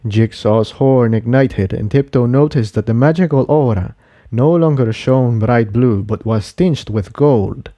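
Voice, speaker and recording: A man's voice: mid-range voice, unhurried, warm tone, no echo. A man reading a scripted fantasy adventure story as narrator. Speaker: fan fiction narrator.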